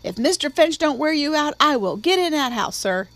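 A woman's voice: sits high, animated, normal speed, a bit bright, voice pushed up. A woman playing a scolding housekeeper. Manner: scolding, indignant. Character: stern scolding woman housekeeper, middle-aged.

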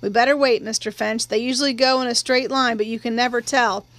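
A woman voicing a cautious, practical male small-town sheriff in an audiobook, plain-spoken and deliberate. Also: a little high, steady tone, fairly bright tone, dry recording, lightly acted. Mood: cautious, tense.

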